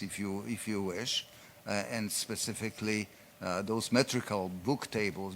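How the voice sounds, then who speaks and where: A man's voice: lowish voice, pushed and bright, a little hiss or hum. A man presenting at a conference, answering audience questions in an explanatory way with frequent fillers. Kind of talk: conference Q&A talk.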